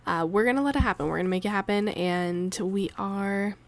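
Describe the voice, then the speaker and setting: A woman in her thirties with a raspy voice from a cold, chatting loosely and laughing in a planner voiceover. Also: medium pitch, fairly quick. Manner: rambling, chatty, laughs often. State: sore throat from a cold. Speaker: planner vlogger. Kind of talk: casual voiceover chat. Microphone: voiceover mic.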